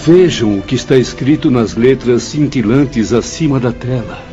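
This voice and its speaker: Actor as a mellow, respectful pupil. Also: male voice, mid-range voice, normal speed, natural voice. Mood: awed. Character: respectful, awed male spirit pupil. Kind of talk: radio drama acting. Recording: muffled audio with faint music.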